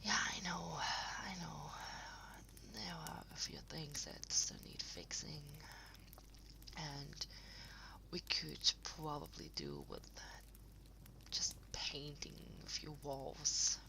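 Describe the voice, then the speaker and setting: A woman playing an affectionate partner in an intimate audio roleplay, rambling softly and hesitantly to the listener at home. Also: low voice, animated, in a whisper, full character voice, poor audio. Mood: relaxed, musing. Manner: soft, breathy, hesitant rambling with trailing pauses.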